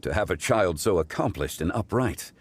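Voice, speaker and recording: A man playing a calm, wise, courteous scholarly gentleman in a video game, speaking formally in measured, eloquent phrases. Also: low-pitched, breathy edge. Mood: composed, admiring. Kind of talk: scripted video game dialogue.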